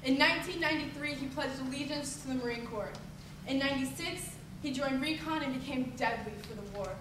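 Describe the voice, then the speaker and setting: A woman's voice: sits high, fairly quick. A young woman performing slam poetry live, emphatic and declamatory with a rhythmic delivery. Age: teens to young adult. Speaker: slam poet. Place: echoey venue at a high school showcase. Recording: echoey distant live recording, background noise.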